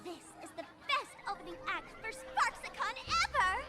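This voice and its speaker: A woman's voice: extremely high pitch, animated, normal speed. A woman voicing a theatrical, mischievous young masked trickster in an anime-style video game, playful and taunting. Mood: grandiose. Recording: game music clearly behind voice. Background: video game music.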